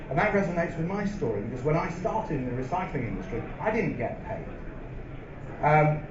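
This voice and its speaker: A middle-aged man, telling stories in a live on-stage interview, measured and full of ums and restarts. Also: on the high side. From England. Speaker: interviewee, company founder. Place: event hall with a live audience. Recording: roomy, dull live hall recording with noise.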